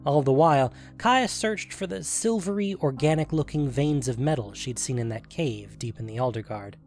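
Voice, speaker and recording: A man's voice: medium pitch, normal speed, a little mellow. Male fantasy story narrator over music.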